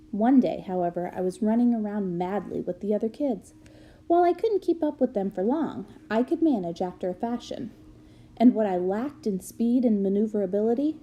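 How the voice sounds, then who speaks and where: A woman reading a book aloud as an expressive narrator. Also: mid-range voice. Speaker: reader of a children's book aloud.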